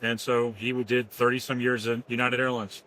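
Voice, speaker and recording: A man's voice: medium pitch, level tone, normal speed, sharp tone, poor audio. Easygoing male guest, matter-of-fact. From Pennsylvania, near Philadelphia. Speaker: guest, company founder and former Marine. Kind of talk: casual interview.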